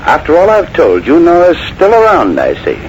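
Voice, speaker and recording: An actor playing a menacing, short-tempered, scheming husband and playwright in a 1940s radio mystery. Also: male voice, fairly high pitch, wildly swooping pitch, moderate pace, dull audio. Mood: irritated. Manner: smooth veiled threats swinging to irritated insistence. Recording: noisy vintage 1940s radio broadcast.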